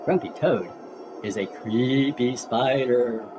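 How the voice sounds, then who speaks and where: A woman reading children's storybooks aloud as an animated narrator, with exaggerated expression and music behind her. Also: extremely low pitch, takes it slowly. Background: music and sound effects.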